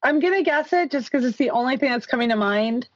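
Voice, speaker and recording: A woman's voice: sits high, lively intonation, quick pace. A woman playing a casual movie-quote game, joking and bantering with the others. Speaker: podcast co-host and player. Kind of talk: casual podcast game chat. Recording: muffled lo-fi audio.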